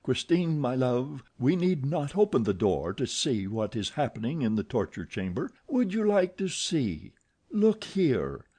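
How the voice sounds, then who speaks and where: A man's voice: medium pitch, sing-song, unhurried, mellow, breathy edge, pitched above the performer's own voice. A man voicing an angry, jealous masked man who snarls commands and mockery in an audiobook. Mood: mocking. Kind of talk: audiobook character voice.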